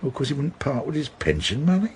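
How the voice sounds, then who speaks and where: An actor playing a middle-aged British detective sergeant, unflappable and fatherly, with a measured, dry, polite delivery in radio drama style. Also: medium pitch, very animated, darkish tone, a little hiss or hum, lightly acted. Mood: low, confiding. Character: senior police detective sergeant, unflappable, dryly courteous, fatherly mentor. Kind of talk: naturalistic radio drama acting.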